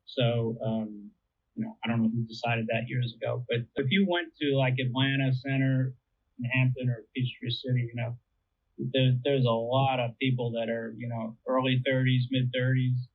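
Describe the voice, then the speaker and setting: A man's voice: mid-range voice, fairly even intonation, normal speed. A man being interviewed, explaining things in a casual, conversational way. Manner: conversational, explains with everyday analogies. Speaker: interviewee explaining air traffic control. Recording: tinny narrowband phone-like line.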